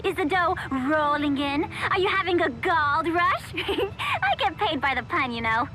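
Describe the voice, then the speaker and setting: A woman's voice: extremely high pitch, very animated, a little slow, heavy background noise, exaggerated voice. An actress playing a chirpy, playful young woman in a video game, a debt caller. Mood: bubbly, teasing. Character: bubbly young woman debt caller who loves puns.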